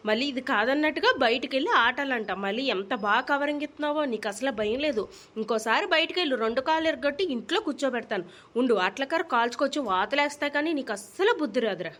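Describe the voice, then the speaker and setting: A woman's voice: high-pitched, fairly bright tone. A woman voicing an exasperated, nagging young mother in a comedy cartoon, with a Telugu accent and sarcastic, threatening scolding. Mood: angry scolding.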